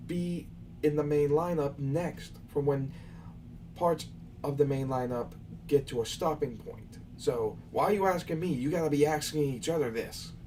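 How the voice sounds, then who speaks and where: Young man with a mellow voice, off-mic. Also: a little high. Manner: exasperated, lecturing his audience, loose and rambling. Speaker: channel host answering viewer questions. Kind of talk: casual live Q&A chat. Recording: far from the mic with faint background noise.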